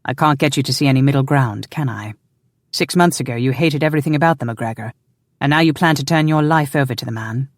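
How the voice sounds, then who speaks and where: A narrator plays a loving husband in a historical romance audiobook, commanding and easily exasperated. The voice sits at a middle pitch with a stern, sardonic edge. It is recorded very dry. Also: quick pace, dry recording, close to an everyday voice.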